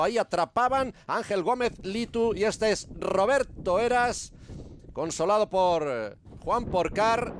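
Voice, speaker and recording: High-pitched Spanish male race commentator over noise. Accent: Spanish (Spain). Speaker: sports commentator.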